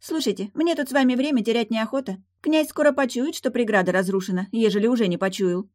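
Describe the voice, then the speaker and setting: A high-pitched young mermaid from a fantasy audiobook, played by a woman narrator. She is brash and carefree, teasing with sly, haughty exclamations. The sound is dry and close. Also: fast, a little mellow, no echo, natural voice. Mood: impatient, scornful.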